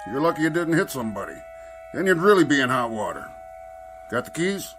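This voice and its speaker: An actor playing a gruff, no-nonsense police officer with a blunt, clipped, colloquial delivery in a radio-style drama. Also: male voice, fairly high pitch, very animated, brisk, music in the background, low-quality recording. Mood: matter-of-fact.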